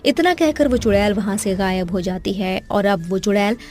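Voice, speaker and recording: A woman's voice: medium pitch, lively intonation, moderate pace, darkish tone. A woman reading scripted narration for a cartoon horror story. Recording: background music under the voice.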